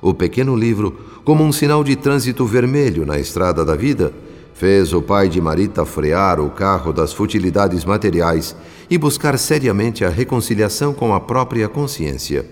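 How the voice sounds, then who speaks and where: A man with a Brazilian accent playing a solemn, compassionate narrator, telling a radio drama in measured literary phrases. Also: on the low side, expressive, close to an everyday voice. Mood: solemn, tender. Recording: long reverb with music behind. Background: music.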